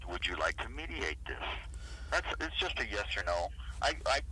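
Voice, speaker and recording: A man's voice: fairly low pitch, sing-song, moderate pace, bright, forceful tone. A man speaking as a police sergeant on a phone call, firm and blunt, cutting in to keep things moving. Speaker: police internal affairs sergeant. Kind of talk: official phone conversation. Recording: noisy telephone line.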